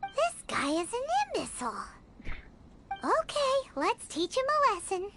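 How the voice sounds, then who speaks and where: A tiny, girlish fairy companion for a video game, squeaky and extremely high. The delivery is theatrical and indignant, swinging all over in pitch, over prominent music. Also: huge pitch swings, measured pace, heavily acted. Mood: scornful. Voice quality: squeaky, childlike. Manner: speaks of self in third person, theatrical. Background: playful game music.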